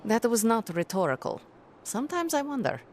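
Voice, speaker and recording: An actress playing a guarded, sardonic young sorceress in a video game, with a dry delivery. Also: female voice, a little high, sing-song, normal speed, darkish tone, slight background noise. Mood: dry, teasing.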